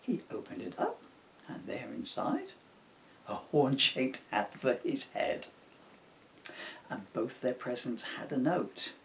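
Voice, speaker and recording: A man reading his own rhyming children's book aloud as an expressive storyteller. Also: medium pitch, takes it slowly, pauses often, slight background noise.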